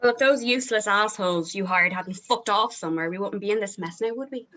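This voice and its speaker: A woman playing an abrasive, short-tempered female rock band member at a table read, sharp, scornful and sweary. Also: mid-range voice, fast, close to an everyday voice. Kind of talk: informal script table read.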